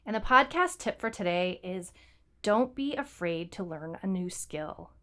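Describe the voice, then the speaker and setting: A woman with a smooth, slightly low voice talks as a podcast co-host. Her delivery is casual and explanatory, in everyday informal language. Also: expressive, measured pace, bright, forceful tone. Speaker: podcast co-host and fiction writer.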